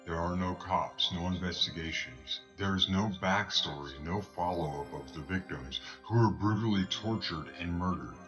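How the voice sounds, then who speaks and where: A man's voice: deep voice, moderate pace, few pauses, a bit bright. A man narrating a horror film trailer in an ominous announcer voice over a music bed. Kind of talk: dramatic movie trailer voice-over.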